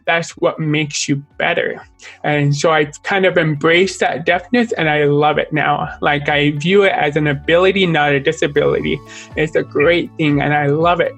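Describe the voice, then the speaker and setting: A young man with the accent of a deaf speaker, an artist talking earnestly and openly as an interview guest, sometimes halting over a word. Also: fairly high pitch, a bit bright, no echo. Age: young adult. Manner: earnest, open, at times halting speech. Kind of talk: casual interview conversation.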